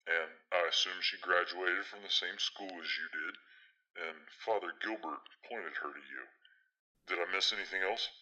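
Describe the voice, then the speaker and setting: A protective older brother in an audio drama, teasing and blunt. His voice is low and strikingly bright, with a forceful edge. He delivers his words with folksy, theatrical flair. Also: moderate pace, dull audio. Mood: probing.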